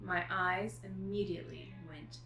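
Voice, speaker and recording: A woman author speaking as a guest, with an expressive, informal delivery for reading aloud and chatting. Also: medium pitch, unhurried. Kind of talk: expressive book reading and casual chat. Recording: echoey room with faint noise.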